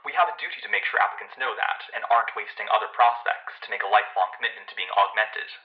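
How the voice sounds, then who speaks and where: An actor playing a guarded, ambitious male senior lab technician being interviewed in an audio drama, measured, defensive and official-sounding. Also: lowish voice, highs cut off, close to an everyday voice.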